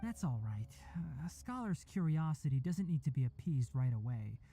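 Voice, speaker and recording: An actor voicing a calm young male forest ranger and scholar with fox ears in a game, speaking in a measured, composed way. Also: medium pitch. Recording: game audio with faint music.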